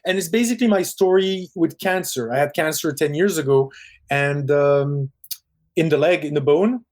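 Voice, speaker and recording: A middle-aged man with a Parisian French accent, a film director chatting in an interview, animated and quick, often restarting his sentences. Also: fairly high pitch. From Quebec, Canada. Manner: animated storyteller, quick bursts, frequent restarts. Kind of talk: casual interview chat.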